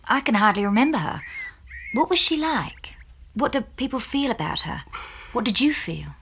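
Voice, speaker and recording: A woman's voice: fairly high pitch, big pitch swings, normal speed, a bit bright, breathy edge, exaggerated voice. An actress playing an earnest, inquisitive young married British woman in a radio drama. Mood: searching.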